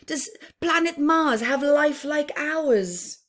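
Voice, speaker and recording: A woman reading a picture book aloud for young children, expressively and with chatty asides, pausing between phrases and often dropping to a hushed tone. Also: high-pitched, animated. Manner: deliberate phrasing with pauses, often dropping to a hushed near-whisper.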